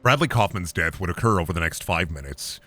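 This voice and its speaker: A man's voice: sits low, expressive, a little fast, bright, forceful tone. A man who streams games, reading found-footage captions aloud in the style of a narrator.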